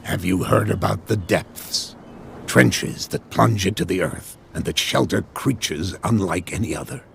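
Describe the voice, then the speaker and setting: An actor playing a weathered harbor captain in a video game, proud and superstitious, with a gravelly, measured, wry storytelling delivery. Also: male voice, deep voice, slight background noise, exaggerated voice. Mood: wry, mysterious. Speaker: last serving sea captain.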